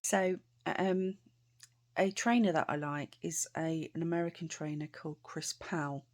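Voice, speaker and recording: A British woman vlogging casually to camera, chatty and rambling, her voice a bit croaky. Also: sits low, steady tone, moderate pace, darkish tone. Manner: chatty, rambling with many fillers and restarts.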